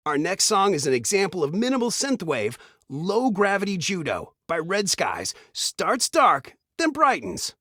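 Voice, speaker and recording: An actor playing an upbeat retro radio DJ in a video game, with a smooth announcer's delivery of scripted patter. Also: male voice, sits high, wildly swooping pitch, unhurried, pushed and bright, dry recording, studio quality, exaggerated voice. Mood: upbeat, easygoing.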